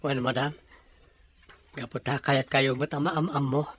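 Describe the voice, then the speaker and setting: A man's voice: mid-range voice, flat delivery, moderate pace, a bit bright, husky, faint music behind. A man playing a shy, humble young farmer in love, speaking earnestly and respectfully with a Filipino Ilocano accent. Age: young adult. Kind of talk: radio drama dialogue.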